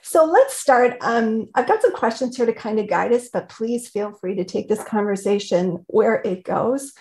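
A woman hosting an interview on a video call from her home, warmly effusive and quick to laugh. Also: a little high, expressive. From Indiana. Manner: enthusiastic, effusive, laughs often. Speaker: host. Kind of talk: interview host. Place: home room. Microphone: video call webcam.

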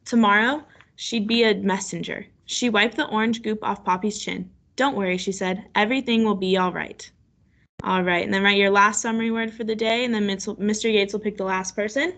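A woman's voice: medium pitch, steady tone, brisk, a bit bright. Woman, teacher, children's read-aloud lesson, mid-range voice, online class call. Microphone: video call.

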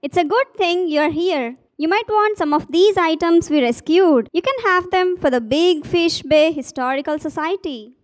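Woman playing a high-voiced, practical grandma puppet. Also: moderate pace, hardly any pauses. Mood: chatty. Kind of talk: children's puppet show dialogue.

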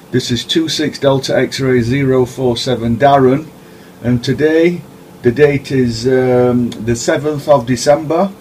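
A man working as an amateur radio operator, speaking deliberately in radio-contact style and carefully spelling out letters and numbers. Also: mid-range voice, darkish tone, a little hiss or hum, low-quality recording. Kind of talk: radio contact with call signs spelled phonetically. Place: indoors at a radio set.

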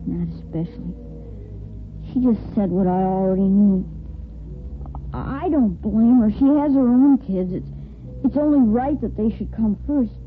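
A woman playing a brave, wistful twelve-year-old orphan boy in a 1950s radio soap, earnest and halting. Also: mid-range voice, close to an everyday voice. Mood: sadly resigned. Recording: muffled old radio broadcast, faint music.

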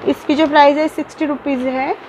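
High-voiced young woman vlogging at home. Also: flat delivery, brisk, noisy background. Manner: chatty, many fillers and restarts, mixes English words into Hindi. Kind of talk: casual unboxing vlog. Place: small room indoors.

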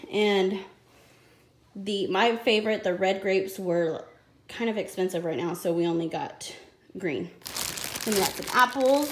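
American woman chatting casually in an echoey room. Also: medium pitch. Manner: informal, rambling, explanatory. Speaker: family vlogger. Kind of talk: casual grocery haul vlog chat. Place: indoor home kitchen at a counter. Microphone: handheld phone behind the camera. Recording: echoey room, crinkling package noise. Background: plastic packaging crinkles and clicks.

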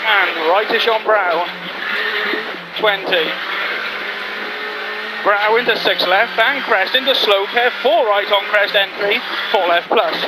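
Man calling rally pace notes. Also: sits high. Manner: clipped rapid-fire calls. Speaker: rally co-driver. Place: inside a rally car on a forest stage. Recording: in-car intercom over loud engine. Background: loud engine and road noise.

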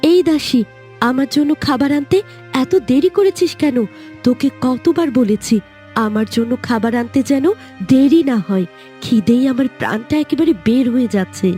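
A woman's voice: high-pitched, expressive, normal speed, dark tone, soft background music. A woman playing a haughty, vindictive young queen in a fairy-tale cartoon, scolding and threatening in an imperious way. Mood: irritated. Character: haughty, vindictive young queen who bullies her servants.

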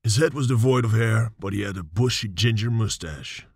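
A man giving scripted narration for a radio serial, measured and storytelling. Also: fairly low pitch, gravelly, breathy edge, dry recording, studio quality.

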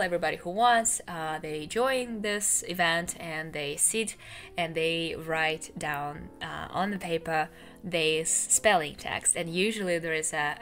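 A woman's voice: on the low side, a bit bright. A young woman whose first language is Russian, chatting about books at home into a desk mic, with frequent ums and uhs. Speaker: book vlogger. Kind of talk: casual book talk. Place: home room with bookshelves, indoors. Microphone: desk podcast mic on a boom arm.

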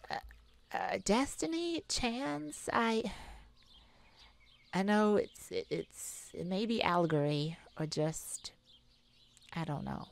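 A woman playing a kind, anxious mage in an ASMR audio roleplay, talking hesitantly and intimately to a childhood friend. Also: lowish voice. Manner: hesitant, stammering, soft-hearted worrier.

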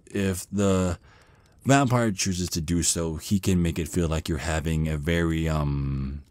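A shy male vampire, acted by a man for an ASMR roleplay, nervous and smitten on a first date. The voice is low and slow, full of stammers and hesitation, and recorded very dry and close. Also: fairly even intonation, darkish tone, no echo. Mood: hesitant, bashful.

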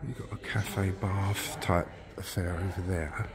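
A man filming a casual walking tour of a church, chatting politely and thinking aloud, sometimes dropping to a hush. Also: low voice, fairly even intonation, measured pace, a crowd in the background. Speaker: vlogger giving a walking tour. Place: large church interior and crypt.

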